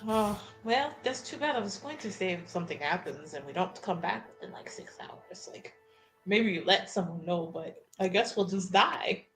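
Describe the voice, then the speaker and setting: A woman playing a tabletop roleplaying game, talking in an informal, halting but emphatic way as she improvises in character. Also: mid-range voice, lively intonation, over music, low-quality recording.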